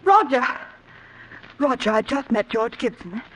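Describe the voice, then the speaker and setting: A woman's voice: on the high side, animated, measured pace, poor audio. A woman playing a conniving younger niece, nervy and falsely sweet, in an old-time radio horror drama. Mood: breathless alarm.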